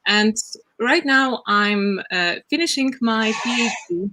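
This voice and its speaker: Bright-voiced young woman explaining. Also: a little high, takes it slowly. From Poland. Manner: explanatory, with frequent "uh" fillers. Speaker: guest researcher. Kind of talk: casual interview talk.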